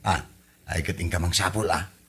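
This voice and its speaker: A man's voice: sits low, fairly even intonation, normal speed, bright, forceful tone, breathy edge, heavily acted. A man playing a nagging villager in an Ilocano comedy drama, scolding and questioning, with an Ilocano accent.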